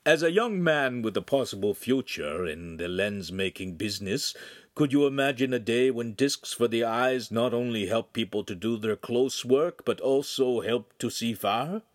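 Effusive storybook Italian nobleman, done by a male narrator, gracious and lordly, highly varied pitch. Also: expressive, normal speed, no room sound, studio quality, lightly acted. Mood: courtly, curious. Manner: courtly, effusive, grandly polite. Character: rich, tall, gracious Italian nobleman, pleased and courtly.

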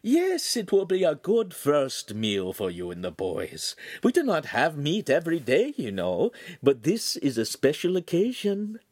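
A man reading an audiobook and voicing a cheerful, bossy noblewoman in a high, sing-song lilt. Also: wildly swooping pitch, measured pace, hardly any pauses, darkish tone, no echo, clean studio sound, exaggerated voice. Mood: brisk, cheerful. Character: fussy older female noblewoman, brisk and cheerful. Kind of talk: audiobook character voice.

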